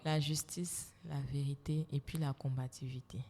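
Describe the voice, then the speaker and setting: A woman, a human resources specialist, speaking as an interview guest in a measured, thoughtful way, laying out her points calmly. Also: deep voice. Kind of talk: casual interview. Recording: long hall reverb.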